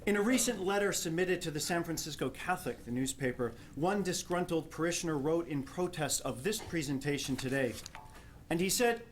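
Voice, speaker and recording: A somewhat high-pitched man with a New Jersey accent reads a prepared response to a live audience. His delivery is formal and articulate, with a wry edge. A faint noise sits behind the voice. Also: expressive, a little fast. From Jersey City, New Jersey, USA. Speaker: professor of theology, respondent. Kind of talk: prepared speech read to an audience. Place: live public talk before an audience.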